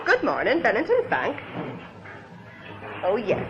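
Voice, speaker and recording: A woman's voice: a little high, wildly swooping pitch, a little slow, music in the background, full character voice. An actress playing a chirpy young female bank secretary in a radio drama. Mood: cheerful. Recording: dull old radio recording, clear noise.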